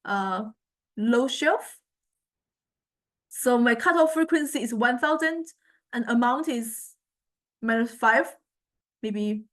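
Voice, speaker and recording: A woman giving an informal software demonstration as a lecturer, thinking aloud in a halting, self-correcting way. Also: on the high side, animated. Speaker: music technology lecturer.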